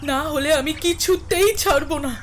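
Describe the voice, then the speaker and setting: A woman's voice: high-pitched, measured pace, slight background noise. A fretful village mother. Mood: tender. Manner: fretful, pleading. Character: anxious middle-aged mother of the house. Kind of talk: audio drama acting.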